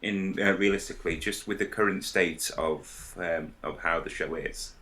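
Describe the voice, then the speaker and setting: A man in a casual podcast discussion, explaining his ideas in a hesitant, halting way. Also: low voice, fairly even intonation, sharp tone. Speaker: podcast panellist.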